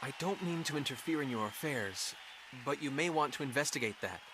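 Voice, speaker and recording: An actor playing an earnest, modest young adventurer in a fantasy game, hesitant and self-effacing. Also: male voice, mid-range voice, animated, fairly quick, lightly acted. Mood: polite. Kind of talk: anime-style fantasy game dialogue. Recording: clear game ambience noise behind.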